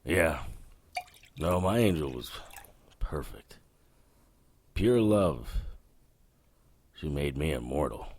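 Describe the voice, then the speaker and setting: A man's voice: rumbling low, animated, slow pace, pauses often, darkish tone, husky, heavily acted. An actor playing a weary, hard-drinking, sardonic male investigator in a noir audio drama. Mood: drunken bitterness.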